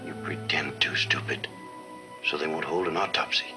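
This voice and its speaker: An American actor playing a sly gangster in a 1940s film serial, with a smooth, hard manner. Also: male voice, a bass voice, normal speed. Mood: hushed pressure. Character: sly male gangster posing as a prisoner's relative. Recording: old film soundtrack with music.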